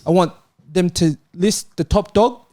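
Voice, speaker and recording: A man's voice: high-pitched, measured pace, warm tone, no room sound, studio quality. A man on a football panel chatting casually, insistent and opinionated. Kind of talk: casual chat.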